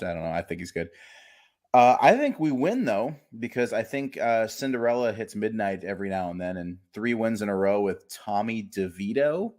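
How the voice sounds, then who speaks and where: Low-voiced man in wry sports banter. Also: a little mellow. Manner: wry, gloomy, self-mocking banter. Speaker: podcast co-host. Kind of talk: casual sports podcast chat.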